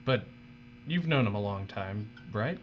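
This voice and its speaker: Male actor as a green young laborer, lowish voice, quiet, hesitant, dystopian audio drama, noticeable hum. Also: animated, a little slow. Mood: uneasy, subdued. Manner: subdued, hesitant. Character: uneasy, squeamish young male novice worker. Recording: dull audio with clear mains hum.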